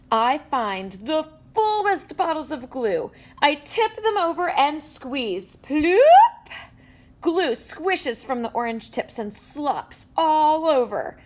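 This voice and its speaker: A woman reads a children's picture book aloud in a higher voice that leaps and dips with every line. She acts out the sound effects with playful energy, recorded indoors at a table. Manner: animated storytelling with sound effects. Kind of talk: expressive read-aloud.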